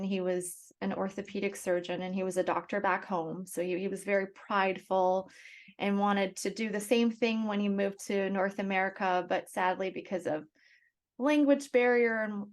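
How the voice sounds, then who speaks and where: A young adult woman, born in Russia and raised in Canada, telling her life story as a podcast guest in a soft, thoughtful way with pauses. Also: medium pitch. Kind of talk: reflective personal storytelling.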